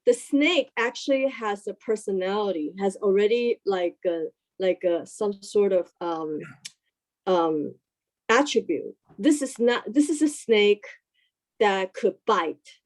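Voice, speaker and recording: Hesitant woman with a mellow voice. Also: medium pitch, lively intonation, slow pace. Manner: hesitant, many fillers and restarts while asking. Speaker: discussion participant. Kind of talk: casual group discussion.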